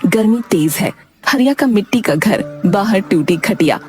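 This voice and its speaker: A woman narrates a scripted tale as storyteller. Her pitch is mid-range for a woman. Music plays behind her. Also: animated, a little slow, a little mellow.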